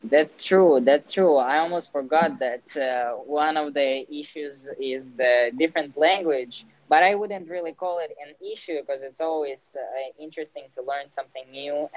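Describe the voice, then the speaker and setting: A teenage man with a Russian accent, a student living abroad, speaking English hesitantly with many pauses and fillers. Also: a little high, dry recording, highs cut off. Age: teens. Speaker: interviewee, exchange student. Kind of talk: casual conversation.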